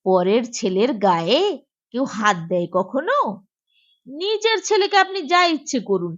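A woman's voice: fairly high pitch, sing-song, a little slow, fairly bright tone, highs cut off. A woman playing an ingratiating male private tutor, coaxing and falsely sweet, in a comic audio story. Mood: exaggerated reassurance.

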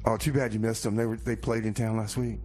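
A man's voice: lowish voice, little pitch movement, fast talker, a little mellow. Male radio host, background noise. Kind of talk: casual radio show hosting with comedy banter.

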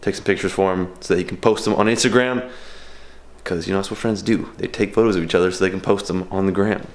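A man's voice: deep voice, steady tone, quick pace. A young American man chatting casually and informally in a home vlog, in a slangy, chatty way. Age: 20s to early 30s. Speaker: fitness food vlogger. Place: home kitchen, indoors. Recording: roomy echo, clear noise and clicks.

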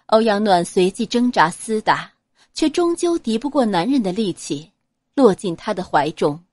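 A woman reads a serialized novel aloud with expressive flair. Her pitch moves widely through the lines, and the sound is dry and close, with no room around it. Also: normal speed, dry recording. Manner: expressive, dramatic reading. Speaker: audiobook narrator. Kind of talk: storytelling narration of a serialized novel.

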